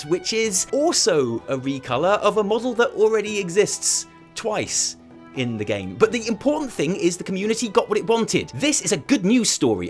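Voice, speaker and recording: A man presenting scripted satirical gaming news as host, sarcastic and theatrical, with emphatic dramatic pauses. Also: sits high.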